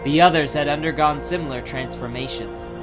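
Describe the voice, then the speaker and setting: A man's voice: a little high, brisk. A man giving a scripted, dramatic narration of a fantasy audiobook. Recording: music behind the voice.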